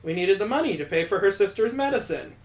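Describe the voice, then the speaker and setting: A woman's voice: expressive, fast, lightly acted. A man voicing a terrified middle-aged mother who stammers and pleads for her life in a visual novel reading. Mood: pleading. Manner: pleading, stammering, breaking into high-pitched wails. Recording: roomy room echo.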